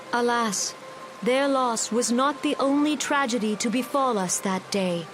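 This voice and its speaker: An actress playing a solemn female forest leader giving a measured, formal ceremonial speech in a fantasy game. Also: a little high, lightly acted. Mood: grave, mournful. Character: gentle, solemn female forest elder leader addressing her people. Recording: music and rain behind the voice.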